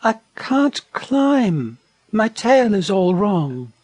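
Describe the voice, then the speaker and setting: A gentle storybook wallaby lady, put on by a male narrator, high and breathy, with a lilting, very varied tune. She sounds soft, sweet and eager to please. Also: very animated, drawn-out words, no echo, heavily acted. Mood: timid, friendly.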